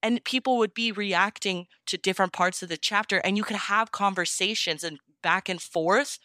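Bright, clear-voiced enthusiastic young woman. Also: medium pitch, moderate pace, no echo, clean studio sound. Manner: enthusiastic, gushing, peppers talk with "like". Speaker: podcast guest. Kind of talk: casual chatty interview.

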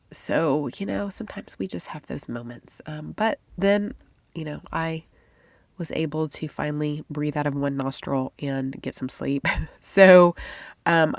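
Stuffy-nosed woman with a low voice. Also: no room sound. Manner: chatty, confiding, laughs often. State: stuffy, congested nose. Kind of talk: casual podcast monologue.

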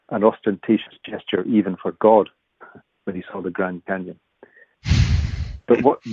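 A man's voice: on the low side, creaky, breathy edge, dry recording. A man from Scotland giving a thoughtful interview about walking and pilgrimage, with frequent restarts. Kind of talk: reflective conversational interview. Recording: dry, tinny phone-like line.

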